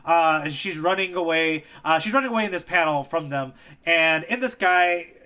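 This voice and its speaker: A man's voice: a little high, moderate pace, bright, forceful tone, smooth. A man co-hosting a casual manga readalong podcast, talking in an informal, enthusiastic way. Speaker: podcast co-host.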